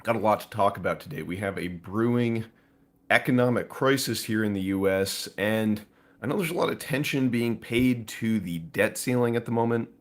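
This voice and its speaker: A man with a hoarse, raspy voice hosting a live stream, talking through market news casually to viewers. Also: fairly low pitch, moderate pace. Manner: conversational, with frequent fillers and asides to viewers. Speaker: host of a precious metals live stream.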